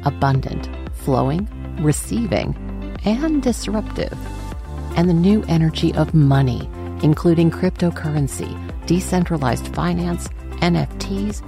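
Deep gravelly woman narrating over music. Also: expressive, a little slow. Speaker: show intro narrator. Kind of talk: scripted narration.

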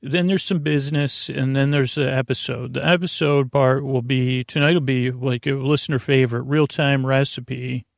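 A man with a heavily creaky, gravelly voice of medium pitch hosts a bedtime podcast. He wanders off on whimsical tangents, interrupting and doubting himself with self-deprecating humour. The recording is close and dry, with very little room sound. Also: fairly even intonation, normal speed, hardly any pauses, no echo. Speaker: podcast host. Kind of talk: rambling bedtime sleep podcast monologue.

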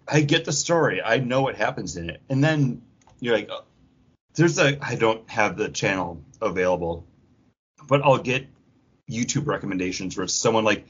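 Husky man chatting animatedly. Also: mid-range voice, a bit bright. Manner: profane, acts out imagined quotes mid-talk. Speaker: podcast co-host. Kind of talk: casual, animated pop culture chat. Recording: dull, lo-fi audio.

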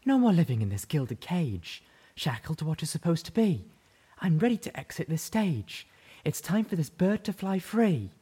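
A man's voice: a little high, big pitch swings, normal speed, mellow, husky, pitched above the performer's own voice, heavily acted. A man voicing an elegant unicorn mare who sings a showtune-style ballad. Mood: soaring. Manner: singing a stage ballad.

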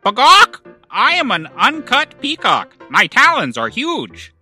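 Man as a brash high-pitched squawking peacock. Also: huge pitch swings, measured pace, sharp tone, husky, soft background music, heavily acted. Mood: boastful. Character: brash, boastful male peacock with huge talons.

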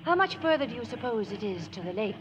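Prim lady with swooping pitch. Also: very animated, fast talker, background noise. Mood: anxious. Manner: proper, genteel, earnest. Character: prim, devoted, brave middle-aged spinster lady. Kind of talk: 1950s radio drama acting. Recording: dull old radio recording with music.

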